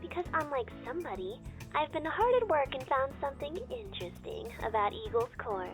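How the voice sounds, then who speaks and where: A woman's voice: sits high, big pitch swings, a little fast, faint music behind. An actress playing a teasing, playful young female student in a visual novel game, with a girlish voice. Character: teasing young female schoolmate on a phone call.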